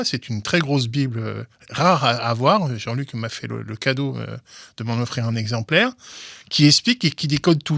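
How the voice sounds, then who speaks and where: Man, mid-pitched, halting explainer, close dry mic. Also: creaky, a bit bright, dry recording. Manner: halting, many restarts and repeated words. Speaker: guest, legal commentator. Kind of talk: explanatory interview talk.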